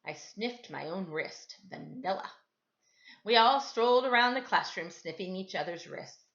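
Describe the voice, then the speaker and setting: Storybook reader: woman, animated delivery with lively intonation, mid pitch, slightly lo-fi sound. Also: measured pace, vocal fry. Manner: animated, dramatizes dialogue. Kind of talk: expressive read-aloud storytelling for children.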